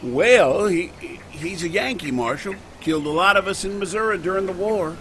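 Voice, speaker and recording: A man's voice: high-pitched, lively intonation, moderate pace, a bit bright, a little hiss or hum. A man playing a mean, taunting Old West town bully with folksy speech in a radio Western. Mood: defensive.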